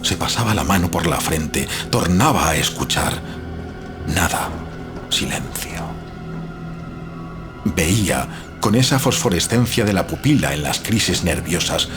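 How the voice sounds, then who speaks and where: A man narrating a horror story in a solemn, suspenseful manner with dramatic pauses. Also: deep voice. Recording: prominent music behind the voice.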